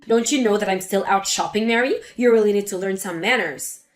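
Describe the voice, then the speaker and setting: A woman voicing a spiteful older mother-in-law who lectures and sneers, with a lowered voice. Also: fairly quick, lightly acted. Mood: scornful. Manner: scornful, lecturing.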